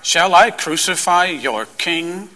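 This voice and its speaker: A man playing an imposing, weary Roman governor in a dramatized scripture reading, speaking in a deliberate, declamatory way. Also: high voice, lively intonation, sharp tone, full character voice. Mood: commanding.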